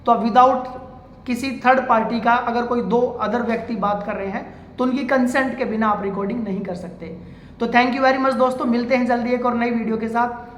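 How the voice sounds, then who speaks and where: A man's voice: very high voice, fairly even intonation, normal speed, slight background noise. A man speaking as an advocate, giving an informal legal explainer step by step and addressing viewers as friends. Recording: echoey room with long reverb.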